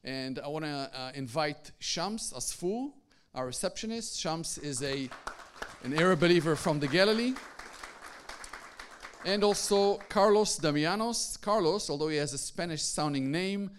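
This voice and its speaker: A man introducing staff members to a gathering in a formal, unhurried way. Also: fairly high pitch, animated, some chatter behind, slight background noise. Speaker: ministry leader introducing staff.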